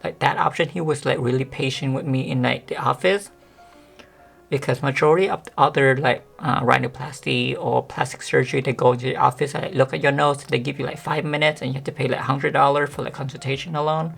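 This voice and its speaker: A woman vlogger chatting casually to the camera with a monotone, deep voice that sometimes cracks, rambling with many fillers. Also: fairly quick, creaky, breathy edge, faint music behind. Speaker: beauty vlogger.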